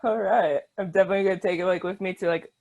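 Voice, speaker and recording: Enthusiastic woman interviewer, lower voice. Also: steady tone, racing, a little mellow. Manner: enthusiastic, says "like" often, laughs. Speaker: interviewer, book reviewer. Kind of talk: casual video-call interview.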